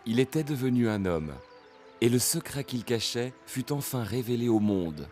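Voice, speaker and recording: A man giving formal, measured scripted narration for an animated Bible story. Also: medium pitch, faint music behind.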